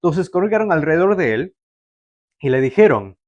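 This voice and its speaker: A man's voice: fairly high pitch, big pitch swings, brisk, darkish tone, no echo, muffled recording. Man, young adult, pastor, conversational sermon, fillers and restarts, dry room. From Canada. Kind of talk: sermon to a livestream audience.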